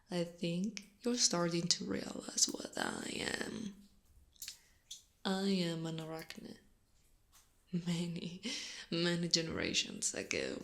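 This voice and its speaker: A woman playing a possessive, predatory female spider monster in an ASMR roleplay, teasing and often slipping into a breathy half-whisper. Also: medium pitch, fairly bright tone. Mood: taunting, amused. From Italy.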